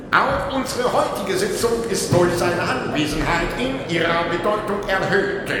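A man's voice: high-pitched, distant mic. A ceremonious male commandant played by an actor in a radio drama. He declaims in a raised, self-important voice, drenched in echo with crowd noise around him. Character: pompous male colony commandant addressing an assembly. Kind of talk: German radio play acting. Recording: long hall reverb, crowd murmur behind.

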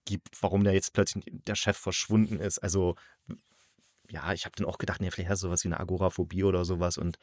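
A man with a slightly low voice talks through a review as host in a casual, conversational way. He halts and rephrases while looking for the right word. The sound is dry and close, with little room. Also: flat delivery, fast talker, no echo. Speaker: podcast host and audio drama reviewer.

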